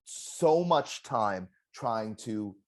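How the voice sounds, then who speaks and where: A former game contestant in a casual exit interview, telling stories in an animated, self-deprecating way with a loud voice. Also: medium pitch, extremely slow. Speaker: eliminated contestant, juror.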